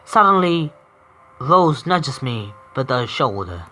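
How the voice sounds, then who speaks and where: A man's voice: medium pitch, very animated, takes it slowly, bright, forceful tone, slight background noise. A man streaming himself reading a visual novel aloud, often stumbling and correcting himself.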